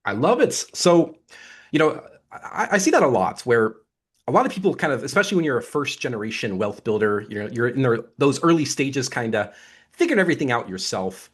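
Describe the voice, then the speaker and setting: A man's voice: mid-range voice, big pitch swings, fairly quick, slightly rough. A man hosting a podcast interview in a casual, upbeat, conversational way. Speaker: podcast host.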